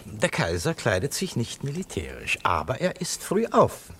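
An actor playing a polite, courtly imperial envoy, deferential and diplomatic, in a German radio play. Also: male voice, mid-range voice, huge pitch swings, normal speed, a bit bright, slight background noise. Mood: courteous.

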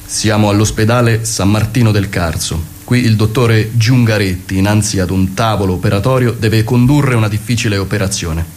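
A man's voice: on the low side, normal speed, few pauses, darkish tone, a little hiss or hum. A man narrating a scripted radio theatre piece.

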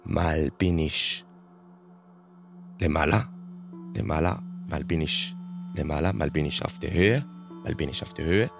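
A man playing a reflective singer looking back on his life in a slow, halting radio monologue that repeats phrases. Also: very deep voice, vocal fry, over music.

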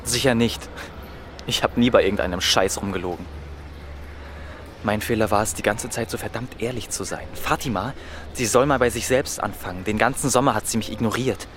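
An actor playing a lovesick, sarcastic teenage schoolboy in a radio drama, emotional and halting, repeating words and swearing. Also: male voice, lowish voice, background noise, natural voice. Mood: defensive, then bitter, hurt. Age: young adult.

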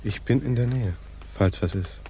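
An actor playing a deferential, uneasy pirate underling in a radio play, halting and servile in his delivery. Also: mid-range voice, mellow, slight background noise, close to an everyday voice. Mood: cautious concern. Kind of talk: stage-like radio play acting.

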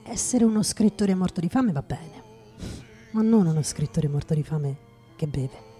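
A low, mellow voice plays a bitter, hard-drinking writer in a radio play. The delivery is brooding and halting, repeating words for emphasis. Faint music runs behind it. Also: female voice, animated. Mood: bitter, reflective. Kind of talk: theatrical radio drama monologue.

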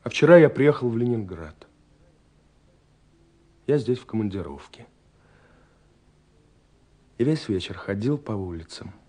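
An actor playing a lovestruck young man who narrates his letters in a lyrical, wistful, intimate way, with pauses, in a romantic radio drama. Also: on the low side, dark tone. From Russia. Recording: 1970s radio recording with music.

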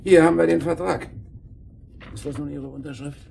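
An actor playing a courteous, flustered middle-aged holiday-village manager in a German radio drama, speaking formally and haltingly. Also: male voice, a little high, fairly even intonation, gravelly, a little mellow, a little hiss or hum. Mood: flustered, insistent.

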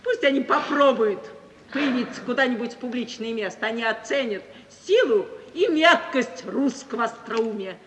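An actress playing a proud, sharp-tongued society woman bent on revenge, declaiming theatrically in an old radio play. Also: a little high, animated, moderate pace, lightly acted. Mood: mocking. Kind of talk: 1950s Soviet radio play acting. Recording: reverberant, hissy 1950s radio recording.

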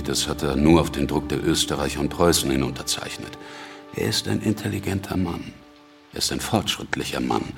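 An actor playing a domineering, scheming revolutionary politician in a radio drama, blunt and commanding. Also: male voice, extremely low pitch. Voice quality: gravelly.

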